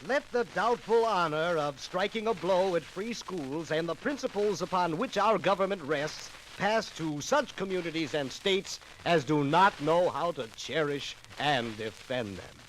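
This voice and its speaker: A man's voice: fairly high pitch, lively intonation, a little slow, hardly any pauses, fairly bright tone. An actor playing an impassioned male legislative orator in a 1950s radio drama, declaiming formal, emphatic rhetoric. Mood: solemn defiance. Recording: noisy 1950s radio record.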